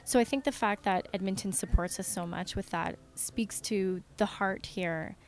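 A woman speaks in a casual interview style, her pitch sitting in the middle. She comes across as an earnest indie filmmaker, enthusiastic about her work. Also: moderate pace. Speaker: independent filmmaker being interviewed.

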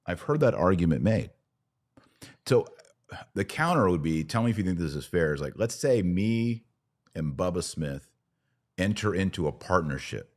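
Deep-voiced man explaining on a podcast. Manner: explanatory, emphatic, argues through examples. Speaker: podcast host. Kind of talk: conversational debate with hypothetical examples.

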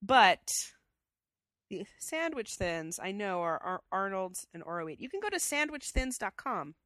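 A woman's voice: medium pitch, very animated, unhurried, a bit bright, no echo, studio quality. A woman co-hosting a casual chat show, with a stuffy head cold and a chatty, enthusiastic way of talking. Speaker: podcast co-host.

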